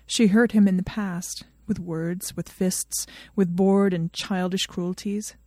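A woman's voice: on the low side, steady tone, takes it slowly, a little mellow, no room sound, clean studio sound. A woman reading a story aloud as scripted narration. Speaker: fan fiction reader.

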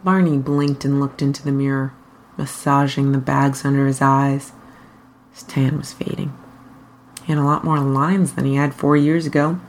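A woman reading fan fiction aloud as an expressive scripted narration. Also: rumbling low, a little hiss or hum.

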